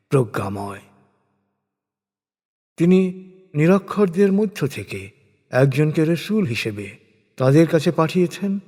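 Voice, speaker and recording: A man narrating translated scripture in a solemn, measured, declamatory scripted style. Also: medium pitch, darkish tone, breathy edge. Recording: long reverberant hall-like echo.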